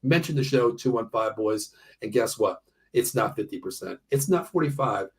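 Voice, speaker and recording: A man in his early fifties with an American accent hosts casual sports talk. His voice is rough and husky with a lively, wide-moving pitch. He speaks bluntly, repeating phrases as he drives a point home. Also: moderate pace. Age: early 50s. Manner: animated, blunt, swearing, often repeats himself. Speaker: sports show host. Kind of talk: casual sports banter with ad reads.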